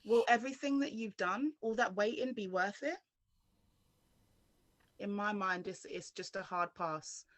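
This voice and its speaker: A woman's voice: mid-range voice, fairly even intonation, unhurried, pauses often, dry recording. Earnest woman from London, dry sound. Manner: earnest, direct appeal to the viewer. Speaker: interview guest. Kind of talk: personal testimony.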